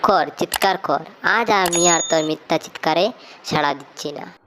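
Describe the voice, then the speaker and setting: Man voicing an emphatic village mother, raised pitch. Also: moderate pace, noisy background, natural voice. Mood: fed up. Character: village mother, widowed, anxious and easily angered by pranks. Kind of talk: cartoon story dialogue.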